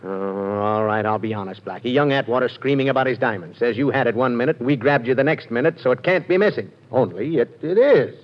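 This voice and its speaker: Actor as a quick-talking, harried police inspector; dull vintage broadcast. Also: male voice, medium pitch, natural voice. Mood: pleading. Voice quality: wheedling, exasperated. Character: exasperated middle-aged police inspector. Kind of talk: 1940s radio acting. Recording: dull lo-fi old radio recording.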